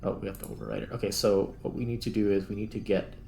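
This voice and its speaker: A man with a fairly low voice narrates a video game he is playing, with music underneath. He talks casually and unscripted, working through each step out loud as he goes. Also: little pitch movement, quick pace, vocal fry. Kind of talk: casual gameplay commentary, thinking aloud.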